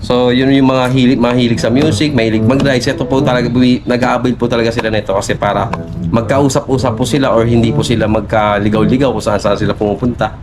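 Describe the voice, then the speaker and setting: A man's voice: medium pitch, fairly even intonation, quick pace, a loud crowd. A Filipino man working as a shop salesperson, explaining products in a polite, conversational way and mixing in English terms.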